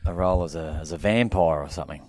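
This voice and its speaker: A man's voice: rumbling low, racing, a little mellow, no echo. A man with an Australian accent, co-hosting a podcast and teasing his co-host with dry, crude interjections. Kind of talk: crude casual banter.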